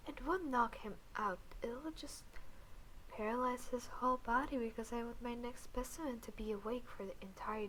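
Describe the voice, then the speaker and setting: A woman playing a scheming alien who poses as a sweet, awkward human girlfriend in an intimate roleplay audio, cool and halting, with murmured asides. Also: on the high side, fairly bright tone, a little hiss or hum, natural voice, low-quality recording.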